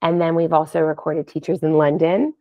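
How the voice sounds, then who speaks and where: A slightly dull, dry recording of a woman guest in a casual podcast interview. She talks in a low-pitched voice and sounds keen on what she shares, chatting in an informal conversational style. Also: fairly even intonation, fairly quick, dry recording. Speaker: podcast guest, wellness app founder.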